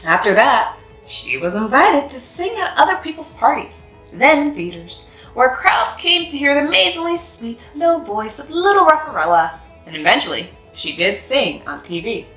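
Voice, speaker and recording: A woman reading a children's picture book aloud in an expressive, sing-song way. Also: high voice, normal speed. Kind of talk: storybook read-aloud. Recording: echoey room with faint music.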